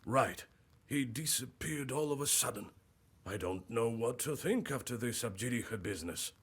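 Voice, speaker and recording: A man's voice: mid-range voice, lively intonation, normal speed, a bit bright, husky. An actor voicing a troubled village man in a video game, earnest and pleading. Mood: worried. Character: troubled village man seeking a lost friend.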